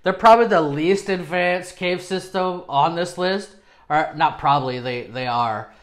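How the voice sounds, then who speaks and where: Casual male video presenter, thirties, slightly high voice, informal and self-deprecating, small home studio. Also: measured pace, fairly bright tone. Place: small home studio with acoustic foam, indoors.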